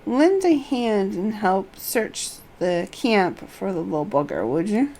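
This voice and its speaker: A woman voicing an indignant military camp officer from a fantasy game, reading the dialogue in a deliberate, drawn-out way. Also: mid-range voice, sing-song, a little mellow, slight background noise. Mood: indignant, commanding.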